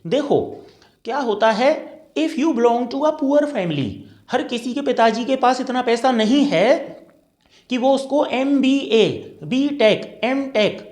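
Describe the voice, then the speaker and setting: A man's voice: very high voice, takes it slowly, darkish tone, echoey room. A man with an Indian accent, a career trainer explaining patiently and checking that his listeners follow. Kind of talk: classroom-style explanatory talk.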